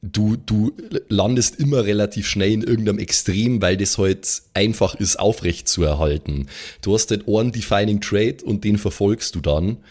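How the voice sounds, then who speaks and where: A man's voice: fairly low pitch, moderate pace, a little mellow. A man chatting casually as a co-host, dropping in English slang and repeating phrases for emphasis. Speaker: podcast co-host. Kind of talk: casual chat.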